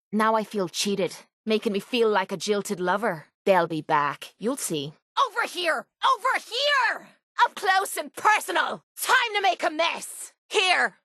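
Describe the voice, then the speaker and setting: A woman's voice: on the high side, fairly bright tone, no echo, studio quality. An actress playing a tough, sardonic young female brawler in a video game, with an Irish accent and a brash, earthy way of talking. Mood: grumbling, then taunting, fierce. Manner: brash, sarcastic, earthy slang. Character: tough, foul-mouthed, sardonic female brawler with a violent streak. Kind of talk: video game character voice lines.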